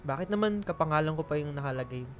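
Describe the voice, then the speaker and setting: An actor playing a gentle, apologetic young lover in a radio drama, soft-spoken and earnest. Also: male voice, a little high, animated, quick pace, slight background noise, close to an everyday voice. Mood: apologetic, loving.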